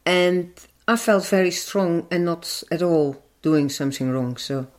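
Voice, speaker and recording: An older woman with a Dutch accent, speaking earnestly and a little haltingly as an activist being interviewed. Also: fairly low pitch. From Netherlands. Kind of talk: personal interview answer.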